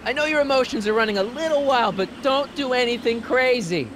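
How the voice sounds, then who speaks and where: A man's voice: extremely high pitch, a little fast, a bit bright, slight background noise. An actor playing an earnest, worried teenage boy and loyal friend in a video game, casual and hesitant. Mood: worried, urgent. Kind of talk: animated video game acting.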